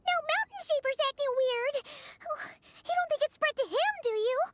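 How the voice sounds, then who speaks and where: Game sidekick: excitable little fairy girl, piping very high, bouncy and animated delivery. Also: moderate pace, heavy background noise. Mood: worried. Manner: chirpy, childlike, exclamatory. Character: tiny excitable floating fairy girl companion.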